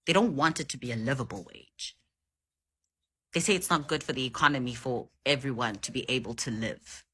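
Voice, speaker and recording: Emphatic, husky low-voiced woman from South Africa. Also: brisk, bright, forceful tone. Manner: emphatic, indignant. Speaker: reaction video creator. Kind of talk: opinionated social commentary to camera.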